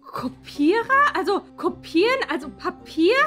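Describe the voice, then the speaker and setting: A woman's voice: very high voice, big pitch swings, a little slow, music in the background, heavily acted. A woman playing a gushing, esoteric job applicant in a comedy sketch, rambling airily and drawing out her words. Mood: flustered. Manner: effusive, rambling, airy, draws out words. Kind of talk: improvised comedy sketch acting.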